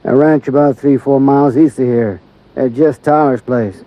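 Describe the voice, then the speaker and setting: A slow-talking country deputy sheriff, beefy and unhurried, drawling in plain rural grammar, played by a man. The voice sits at an ordinary middle pitch. It comes through the dull, muffled sound of a vintage radio drama. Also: steady tone, natural voice. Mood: laconic. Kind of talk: 1950s radio drama acting. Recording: dull lo-fi old radio recording.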